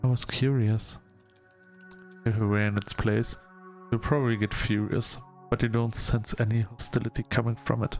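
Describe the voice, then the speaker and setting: Gravelly male game narrator over music. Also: medium pitch, flat delivery, moderate pace, darkish tone. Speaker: gaming video host. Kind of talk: let's-play narration, reading game text aloud.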